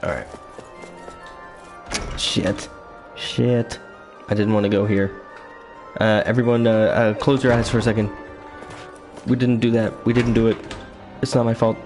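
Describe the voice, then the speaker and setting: A man's voice: fairly low pitch, fairly even intonation, unhurried, pauses often. A man casually commenting while playing a video game, joking and chatty, with game music behind him. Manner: joking, self-mocking, chatty. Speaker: streamer. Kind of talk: casual let's-play commentary.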